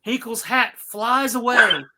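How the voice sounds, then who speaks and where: A woman's voice: on the high side, slow, bright, forceful tone. A woman reading stage directions as narrator in a live, comedic script read.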